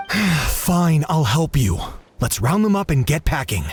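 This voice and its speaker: An actor playing an anxious, grudging but decent young soldier in a video game, hesitant and uneasy. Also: male voice, fairly high pitch, sing-song, slow, a little hiss or hum.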